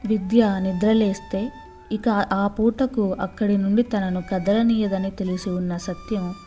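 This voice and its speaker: A woman's voice: mid-range voice, steady tone, measured pace, warm tone, soft background music. A woman reading a scripted moral story for children as a storyteller.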